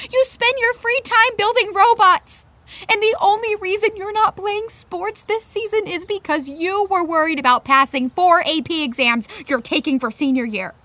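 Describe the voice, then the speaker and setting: A woman's voice: very high-pitched, bright, forceful tone, no echo, heavily acted. An actress playing an anxious, defensive teenage girl in a naturalistic audio drama, with a sarcastic, exasperated edge.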